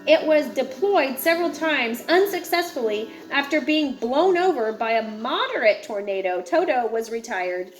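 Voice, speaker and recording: High-pitched woman reading aloud. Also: animated, moderate pace, few pauses, soft background music, poor audio. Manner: informal, stumbles over words and corrects herself. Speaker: read-aloud presenter. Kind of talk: reading a nonfiction book aloud with casual asides.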